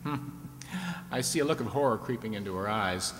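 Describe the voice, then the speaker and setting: A man's voice: fairly low pitch, brisk, natural voice. A man playing a genial, teasing game show host on a 1950s style radio audience show, with playful, folksy patter. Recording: echoey hall, clear background noise.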